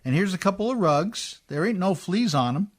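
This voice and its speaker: Man acting a husky, folksy riverboat fellow. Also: high-pitched, animated, moderate pace. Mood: sheepish, deferential. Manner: ungrammatical folksy speech, deferential. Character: rough, folksy, polite riverboat man, eager to please. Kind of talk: old-time radio play acting.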